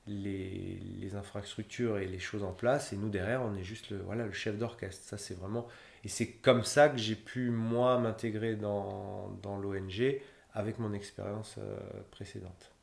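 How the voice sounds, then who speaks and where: A man's voice: lowish voice, steady tone, unhurried, creaky. Thirties guy rambling about his aid work, lots of euh, echoey room. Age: 30s. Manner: rambling, many fillers and restarts. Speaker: humanitarian logistician. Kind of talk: casual interview answers. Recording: roomy echo.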